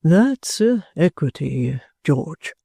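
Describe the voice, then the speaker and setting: Reader playing an earnest, mellow gentleman guardian. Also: mid-range voice, very animated, slow, breathy edge, no room sound, exaggerated voice. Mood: at a loss. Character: kindly, vehement gentleman guardian, earnest and exasperated.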